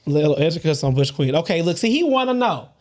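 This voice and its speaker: A man with a light voice, co-hosting a podcast and telling stories in a sassy, animated way, laughing often and swearing freely. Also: a little high, fast talker. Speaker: podcast co-host. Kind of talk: casual group chat, storytelling.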